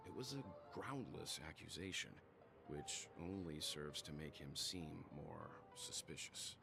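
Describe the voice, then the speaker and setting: A man's voice: extremely low pitch, expressive, unhurried, creaky, full character voice. An actor voicing a serious, suspicious, reasoning man in video game dialogue, grave and articulate, over game music. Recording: game music playing under the voice.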